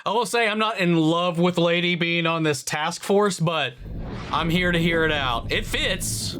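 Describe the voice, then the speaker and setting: A man's voice: a little high, measured pace, bright, forceful tone, slight background noise. A man hosting a reaction video with casual, laid-back and dryly amused commentary.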